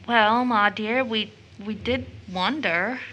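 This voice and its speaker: An actress playing a tender, anxious young daughter in an audio drama, halting and gentle in her speech. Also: on the high side, low-quality recording. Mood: pleading. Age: young adult. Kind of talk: stage audio drama acting.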